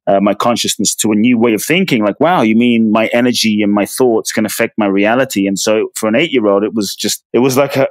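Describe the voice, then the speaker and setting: A man's voice: on the low side, no echo. A man born in Ghana and raised in London, telling his life story as a podcast guest in an earnest, emphatic way. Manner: earnest, emphatic, with repeated words. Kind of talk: personal storytelling interview.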